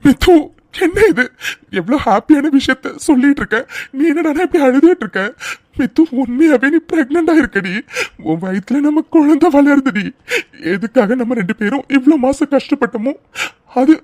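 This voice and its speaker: A woman playing an excitable, doting young husband in an emotional audio drama, gushing and stammering breathlessly. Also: high voice, moderate pace, no room sound, studio quality. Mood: tender, elated. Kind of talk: emotional serial-style audio drama acting.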